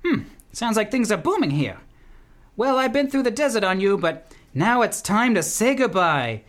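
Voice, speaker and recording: A man's voice: sits high, very animated, moderate pace, fairly bright tone. A man playing a rambling, wisecracking horseback traveler in a comic radio play. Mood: relieved, wry. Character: wisecracking, excuse-making male traveler on horseback.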